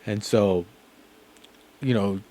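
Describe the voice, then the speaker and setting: A man's voice: lowish voice, very slow, warm tone, dry recording. A man from the United States hosting a reaction video, talking in a loose, slangy way with lots of fillers and restarts. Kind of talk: casual reaction commentary.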